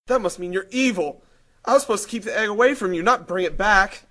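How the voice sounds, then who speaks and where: A woman's voice: mid-range voice, fast talker, a bit bright, natural voice. A woman playing a brash, sarcastic, foul-mouthed young hero in a video game cutscene. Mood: wary, sarcastic. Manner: sarcastic, irreverent.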